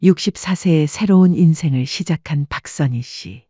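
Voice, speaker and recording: A woman reads a scripted story calmly and evenly. Her voice is husky and mellow. The recording sounds dull and dry, close to the mic. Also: low-pitched, moderate pace, dry recording. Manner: calm, even storytelling. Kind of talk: scripted audiobook narration.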